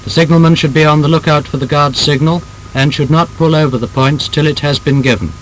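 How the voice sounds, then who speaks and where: A man with a British accent reads a scripted 1930s instructional film narration in a formal, emphatic, measured manner. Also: steady tone. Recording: noisy old film soundtrack with rumble.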